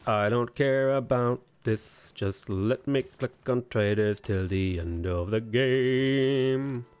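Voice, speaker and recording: A man's voice: medium pitch, takes it slowly, a little mellow, smooth. A man in his twenties on a game livestream, casually chatting and joking while he reads viewer comments aloud. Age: 20s. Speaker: streamer.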